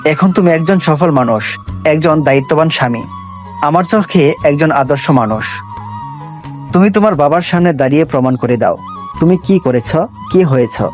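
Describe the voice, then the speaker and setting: A man's voice: a little high, expressive, normal speed, close to an everyday voice. An actor playing a dignified, earnest older father-in-law in a dramatized audio story, speaking formally. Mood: solemn encouragement. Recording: background music under the voice.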